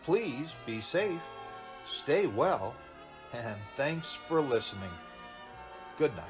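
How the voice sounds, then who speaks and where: A man's voice: mid-range voice, big pitch swings, slow. A man reading a scripted radio theater sign-off as an announcer, with music behind him.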